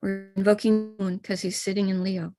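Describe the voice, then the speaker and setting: A middle-aged woman with an American accent, a spiritual teacher calmly guiding listeners step by step through a live-streamed ritual. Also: mid-range voice, moderate pace, soft background music. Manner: calm, gentle step-by-step guidance.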